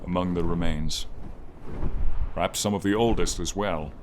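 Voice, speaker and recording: A friendly, protective dragon voiced by a man speaks in a lowish register. The delivery is slow, formal and tender, as in an intimate fantasy audio role play. A noticeable bed of rainy ambience sits behind the voice. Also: steady tone, close to an everyday voice. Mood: wistful, solemn. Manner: slow, formal, tender and teasing address to listener. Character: friendly silver dragon, male, gentle protective old guardian. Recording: clear background ambience noise, light rain effect. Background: light rain and flying ambience.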